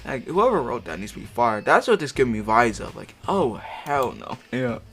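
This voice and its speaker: A man's voice: medium pitch, sing-song, moderate pace, a bit bright, husky. A man doing a casual read-aloud of amateur fiction, breaking off for slangy, profane, incredulous commentary. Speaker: amateur fanfic reader and commentator. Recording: music behind the voice. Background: music.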